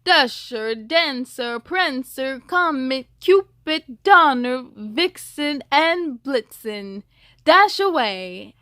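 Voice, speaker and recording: A kindly old Father Christmas from a storybook performed by a woman reader, high in pitch. The delivery is encouraging, emphatic and declaimed, with a dry close sound. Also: lively intonation, extremely slow, bright, forceful tone, no room sound, full character voice. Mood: rousing.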